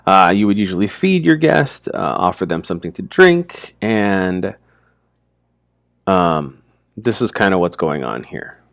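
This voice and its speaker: A man teaching through informal, explanatory commentary on a story, speaking haltingly as he thinks aloud. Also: sits low, very animated, vocal fry, no echo.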